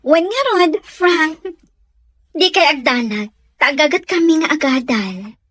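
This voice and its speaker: An affectionate young student in a Filipino radio drama. Her voice is high and sweet, with a Filipino accent. The sound is dry and close. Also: expressive, unhurried, a bit bright, no echo. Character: sweet grateful young female student in love.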